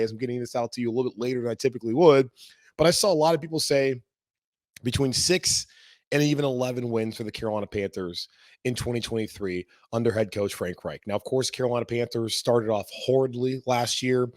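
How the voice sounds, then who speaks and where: Hoarse man, casual sports podcast host, mid pitch, dry booth. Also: darkish tone, no room sound. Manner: casual, rambling.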